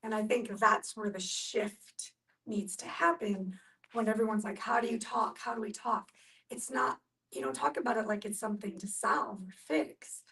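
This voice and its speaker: A woman's voice: medium pitch, moderate pace, a bit bright. Distant-miked woman, earnest and explanatory. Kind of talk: reflective group discussion.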